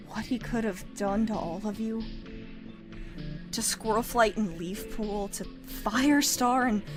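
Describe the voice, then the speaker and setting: A woman voicing a stern, fiercely protective older mother cat with a firm, measured delivery in an animated fan drama. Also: mid-range voice, lightly acted. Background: dramatic background music.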